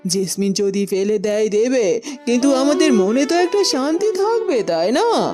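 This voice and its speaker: A woman's voice: on the high side, sing-song, normal speed, over music. A woman playing a humble, earnest former queen full of repentance in a cartoon melodrama. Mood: pleading.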